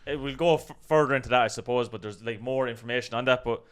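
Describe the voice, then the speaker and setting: A man's voice: mid-range voice, fast talker, clean tone. A man with an Irish accent co-hosting a casual discussion, conversational and full of hedging asides. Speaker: co-host of a video channel. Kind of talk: casual discussion of an online controversy.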